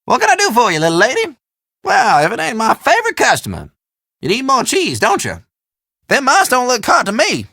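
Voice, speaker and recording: An actor playing a folksy, chatty small-town storekeeper in a video game, with an American country drawl and drawn-out homespun phrasing. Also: male voice, very high-pitched, wildly swooping pitch, bright, forceful tone, heavily acted. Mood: teasing, friendly. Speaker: storekeeper character. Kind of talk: video game character dialogue.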